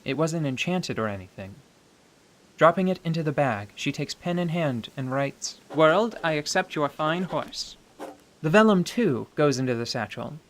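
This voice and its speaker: A man narrating a fantasy radio play in a measured, dramatic storytelling style with musing asides. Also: a little high. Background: soft music.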